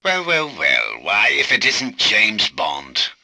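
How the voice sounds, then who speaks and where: An actor playing a cold, gloating villain in a video game, with a gravelly voice and a mocking drawl. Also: male voice, on the low side, huge pitch swings, slow pace, bright, forceful tone, dry recording, heavily acted. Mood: gloating menace.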